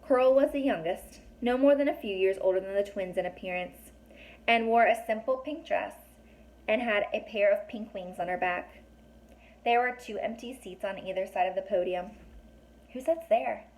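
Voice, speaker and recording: A woman's voice: mid-range voice, normal speed, a little mellow, low-quality recording. Woman reading a story aloud. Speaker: storybook reader. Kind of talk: children's novel read aloud.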